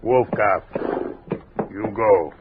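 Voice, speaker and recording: An actor playing a big, proud, guarded war chief in a western radio drama, speaking in terse, halting broken English. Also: male voice, on the low side, phone-quality sound. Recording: noisy, band-limited 1960s radio recording.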